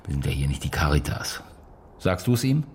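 An actor playing a jaded, demanding newspaper editor in a radio play, curt and dismissive. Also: male voice, very deep voice, big pitch swings, normal speed, noisy background, full character voice. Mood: dismissive, bossy.

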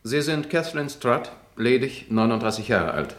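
An actor playing a formal prosecutor who questions in a clipped, methodical way in a German radio drama. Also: male voice, mid-range voice, lively intonation, moderate pace, a bit bright, lightly acted. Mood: businesslike.

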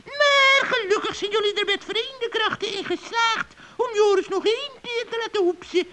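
A performer playing a gloating, spiteful old witch in a children's radio play, with a creaky, cackling voice and a mock-friendly, taunting manner. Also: very high voice, moderate pace, sharp tone, slight background noise.

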